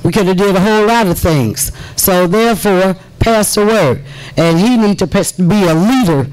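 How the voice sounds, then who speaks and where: A trustee speaking out to residents at a village board meeting, deliberate and emphatic, repeating phrases for effect. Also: female voice, medium pitch, darkish tone, rough recording. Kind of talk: impassioned public meeting speech.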